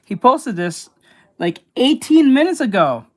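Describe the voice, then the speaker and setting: A woman artist chatting casually while she draws, reacting with quick exclamations and plenty of swearing. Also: on the high side, very animated. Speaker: artist streaming while drawing. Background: music.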